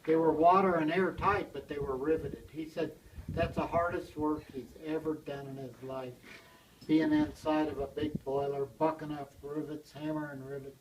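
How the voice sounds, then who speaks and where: A man's voice: fairly high pitch, fairly even intonation, normal speed, slight background noise. An older man, a blacksmith, casually telling a rambling story to a small group, chuckling now and then. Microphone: handheld video camera. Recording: distant from the mic, roomy.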